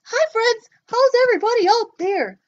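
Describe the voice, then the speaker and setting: A bubbly little boy puppet with a squeaky, very high voice that bounces up and down, as a woman puts him on. He sounds eager and excited, and the recording is slightly muffled. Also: moderate pace. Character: cheerful young boy puppet Joe.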